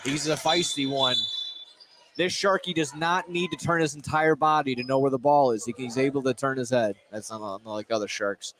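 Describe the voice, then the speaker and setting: A man's voice: medium pitch, steady tone, moderate pace, a crowd in the background. A man calling basketball play-by-play live, with a playful manner and frequent self-corrections. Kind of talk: live sports commentary. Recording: loud gym noise behind commentary. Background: gym noise, bouncing basketball.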